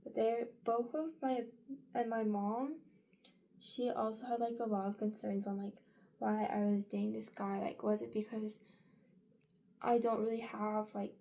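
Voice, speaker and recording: A woman's voice: a little high, steady tone, unhurried, gravelly, mellow. A young woman in her late teens chatting casually in a get-ready-with-me vlog, rambling with many fillers and restarts. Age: young adult. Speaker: vlogger.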